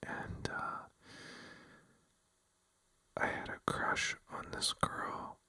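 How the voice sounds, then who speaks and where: A vlogger telling a rambling, casual story in a breathy ASMR whisper, full of fillers like "like" and "you know.". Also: extremely slow, sharp tone. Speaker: vlogger addressing viewers.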